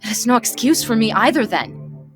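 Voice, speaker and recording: Casting: actress as high-pitched monster goat mother, proper and tender, burdened by guilt, dramatic dub with music clearly behind. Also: lively intonation, moderate pace, natural voice. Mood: regretful. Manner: formal, careful, heartfelt diction. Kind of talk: dramatic comic dub acting.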